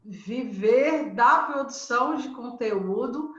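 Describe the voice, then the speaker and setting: A woman's voice: on the high side, lively intonation, slow, a bit bright. Hesitant woman host on an echoey call. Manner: hesitant, many fillers and restarts, reflective. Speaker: host and interviewer. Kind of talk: interview hosting. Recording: echoey room, dull lo-fi sound.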